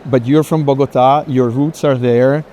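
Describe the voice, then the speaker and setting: A man hosting an unscripted walking conversation, reflective and halting, often repeating and rephrasing his words. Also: medium pitch, fairly even intonation, dark tone, lo-fi sound. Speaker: moderator, host of the walking conversation. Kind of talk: unscripted interview on a tour. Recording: faint urban background noise.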